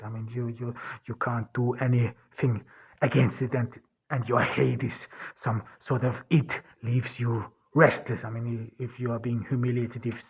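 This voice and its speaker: A man whose first language is German, talking through a personal monologue as a podcast host in a halting, earnest way, restarting and searching for words. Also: mid-range voice, breathy edge. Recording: dull lo-fi recording.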